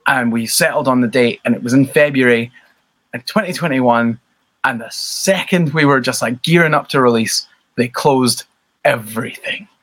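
A man's voice: mid-range voice, moderate pace, a bit bright. A Scottish man in his thirties, a band member talking casually as an interview guest, rambling and self-deprecating with lots of fillers.

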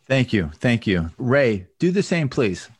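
A man hosting an interview podcast, introducing guests and asking brisk, friendly questions. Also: medium pitch, sing-song, slightly rough, no room sound. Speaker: podcast host.

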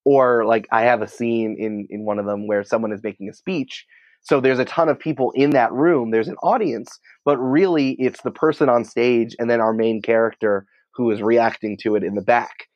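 A man's voice: mid-range voice, steady tone, moderate pace, no echo, highs cut off. An American man answering interview questions in an informal, emphatic, conversational way, peppering his speech with "like". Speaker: podcast producer and writer, interview guest.

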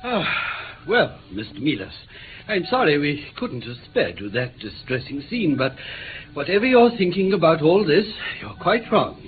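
An actor playing a menacing villain in a radio play, falsely polite and threatening. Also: male voice, on the high side, sing-song, normal speed. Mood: falsely apologetic. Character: smooth-talking, menacing male villain. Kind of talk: radio drama acting. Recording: tinny old radio recording, hiss.

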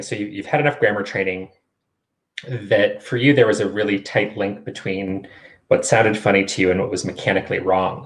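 A man hosting a conversational online interview, chatty and encouraging as he puts questions to his guest. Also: on the low side. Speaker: interviewer and host of a test-prep show.

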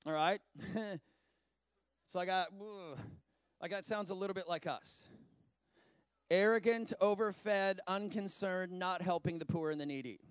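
A man in his forties preaches in a lively, chatty way, swinging from scripture reading into excited asides. His voice sits high and carries smooth, resonant. The sound is dry and close. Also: measured pace, long pauses, dry recording. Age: 40s. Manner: animated, conversational preaching with asides. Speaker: pastor. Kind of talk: sermon.

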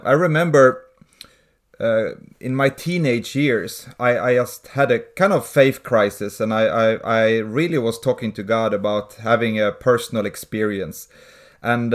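A man, a missionary guest telling his story in an earnest, steady way. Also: lowish voice. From Sweden. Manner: earnest, steady, with small restarts. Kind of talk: interview storytelling.

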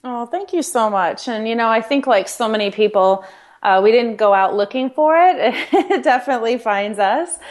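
A middle-aged woman from Nebraska speaks as a podcast guest. She tells her story earnestly, with animated, conversational warmth. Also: on the high side, normal speed. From Nebraska, United States. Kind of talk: conversational interview.

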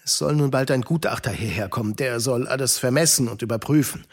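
A man's voice: mid-range voice, brisk, husky. A man acting a kindly, devout uncle in a German children's radio play, earnest and shaken. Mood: earnest, steadfast.

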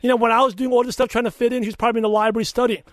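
A man's voice: very high voice, level tone, very fast, no echo, clean studio sound. A middle-aged man with a mixed Brooklyn and Chinese accent and a lisp, speaking earnestly and emphatically in a conversational interview. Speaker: podcaster and coach, interview guest. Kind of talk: conversational interview answers with personal anecdotes.

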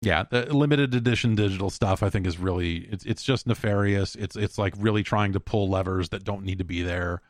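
A man's voice: sits low, a little fast, few pauses, no room sound, clean studio sound. A man hosting a solo podcast, talking in a rambling, informal, wry way, moving between casual commentary and ad reads. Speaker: podcast host. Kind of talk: casual solo podcast talk and host-read ad.

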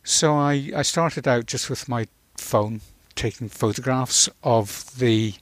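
A man in his sixties from Northern Ireland, reflecting as an interview guest in a thoughtful, halting way, searching for words. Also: medium pitch, no room sound.

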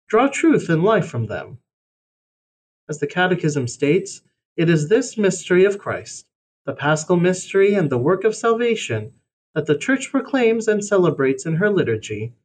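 A man with a somewhat high voice narrates a scripted religious piece. His delivery is measured and formal, yet his intonation moves up and down in a very lively way. There is a faint noise with a low rumble behind him. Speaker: reader of a religious blog essay. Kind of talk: scripted read-aloud narration.

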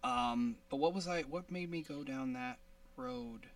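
A man with a high-pitched voice hosting a casual podcast, rambling with many restarts and laughs. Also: animated, unhurried, vocal fry, far from the mic. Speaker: podcast host.

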